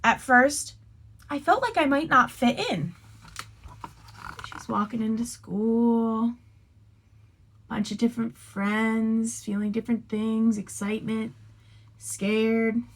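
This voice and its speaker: Woman, fairly high pitch, very slow delivery, children's read-aloud, expressive, instructive, casual asides. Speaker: teacher reading a picture book aloud.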